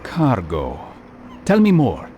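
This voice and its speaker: An actor playing a calm, determined assassin in a video game, with a measured, dry, terse manner. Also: male voice, mid-range voice, a little hiss or hum. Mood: businesslike. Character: calm, cool, determined young assassin hero. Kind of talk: video game cutscene acting.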